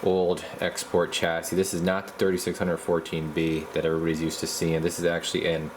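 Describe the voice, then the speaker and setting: A man with a low voice talks casually through hands-on repair work as a technician. His delivery is halting and folksy, like someone thinking aloud, and there is noticeable background noise behind him. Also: completely flat delivery. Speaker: radio repair technician and video host.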